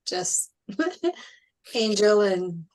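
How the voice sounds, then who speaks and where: A woman with a medium-pitched voice speaks informally in a group discussion. She puts questions to the others in a conversational way. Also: sing-song, extremely slow, darkish tone, poor audio.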